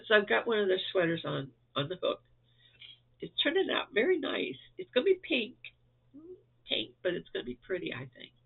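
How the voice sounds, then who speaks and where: Stuffed-up gravelly man. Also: sits high. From Florida. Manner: chatty, rambling, chuckles often. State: stuffed up, losing voice. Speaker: crochet vlogger. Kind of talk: casual rambling update to viewers. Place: at home indoors. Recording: tinny phone-like audio.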